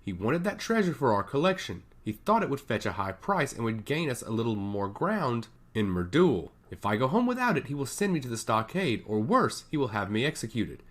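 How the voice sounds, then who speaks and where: A man voicing a petulant young male noble who shouts and blames everyone around him in a fantasy story. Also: medium pitch, very animated, brisk, hardly any pauses. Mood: anxious. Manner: shouting, irritable.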